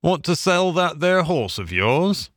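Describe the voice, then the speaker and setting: A man putting on a high, curt horse dealer. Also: big pitch swings, unhurried, fairly bright tone, no echo, studio quality, heavily acted. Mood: offhand. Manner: curt, offhand.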